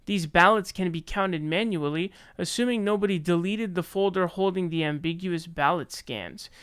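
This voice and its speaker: A man's voice: high-pitched, moderate pace, fairly bright tone, smooth, dry recording, clean studio sound. An American man giving casual, opinionated news commentary in colloquial language, sometimes reading aloud.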